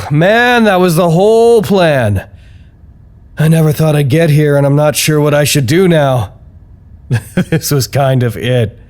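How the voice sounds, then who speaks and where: A man's voice: mid-range voice, darkish tone, natural voice. Man playing an anxious, wry office worker. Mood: rueful. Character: anxious, earnest office man recording confessional reports to his superiors. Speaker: lead actor in an audio drama. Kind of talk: audio drama monologue, intimate log narration.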